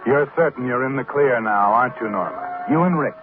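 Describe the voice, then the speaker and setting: Man acting sardonic omniscient narrator, mid-pitched, insinuating, forties radio thriller, tinny recording, faint music behind. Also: a little fast, close to an everyday voice. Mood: sinister, insinuating. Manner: insinuating, sardonic, second-person address. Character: sinister, knowing omniscient male narrator. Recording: thin old radio recording.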